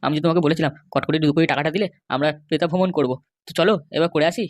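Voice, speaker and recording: A man's voice: on the high side, very fast, no room sound, studio quality, lightly acted. A man voicing a henpecked, pleading middle-aged cartoon husband with a West Bengal accent. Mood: wheedling. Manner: wheedling, grumbling. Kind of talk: comic cartoon dialogue.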